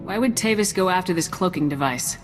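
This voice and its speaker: A woman's voice: lowish voice, expressive, brisk, natural voice. A woman playing a resolute, dutiful lieutenant in a video game, speaking in a firm, earnest military way. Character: resolute, dutiful young female soldier, a lieutenant. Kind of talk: video game cutscene acting. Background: music.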